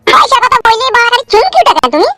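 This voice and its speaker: Woman voicing a cheeky, fast-talking cartoon son. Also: very high voice, expressive, over music. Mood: teasing. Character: cheeky, teasing young son mocking his father. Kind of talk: comedy cartoon voice acting.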